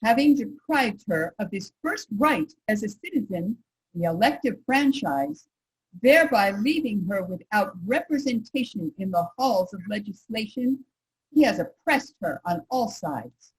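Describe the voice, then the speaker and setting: Very husky actress as earnest woman reformer. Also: medium pitch, animated, unhurried, low-quality recording. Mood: earnest, resolute. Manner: earnest, formal. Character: earnest, troubled 19th-century women's rights reformer. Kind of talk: reader's theater recitation.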